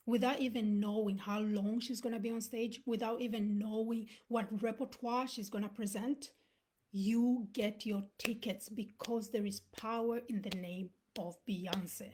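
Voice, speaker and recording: A woman's voice: a little high, fairly even intonation, measured pace, a little mellow, slightly rough. Earnest Ugandan-born woman giving a motivational talk. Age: 30s. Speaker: motivational speaker. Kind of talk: motivational talk on a live stream.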